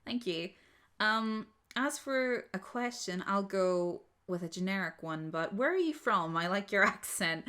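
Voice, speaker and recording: Clear, bright-voiced young Northern Irish woman. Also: medium pitch, lively intonation, measured pace. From Northern Ireland. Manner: candid, blunt, informal with swearing. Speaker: audio roleplay creator answering subscriber questions. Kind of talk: casual unscripted Q&A chat.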